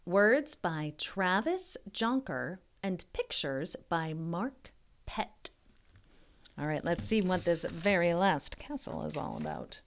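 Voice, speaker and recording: Expressive woman reading slowly to children. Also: on the low side, vocal fry. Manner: expressive, pausing to ask and prompt the listener. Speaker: literacy tutor reading a picture book aloud. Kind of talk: modeled read-aloud for young children.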